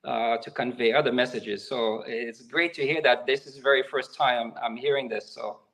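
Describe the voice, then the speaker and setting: Haitian-born guy in his 50s, chatting on a call, slow thoughtful pace, lots of uhs and ums. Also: mid-range voice, fairly even intonation, creaky, a bit bright, low-quality recording. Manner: reflective, halting with many fillers. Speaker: guest, nonprofit executive director. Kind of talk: casual interview talk.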